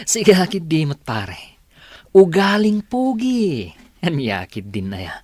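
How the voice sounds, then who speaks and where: A cocky, unrepentant young Filipino boyfriend, acted by a man for a radio drama. His pitch swings widely, and he talks boastfully and dismissively in a dry, close recording. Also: very animated, slow, a little mellow, no room sound, full character voice. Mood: smug.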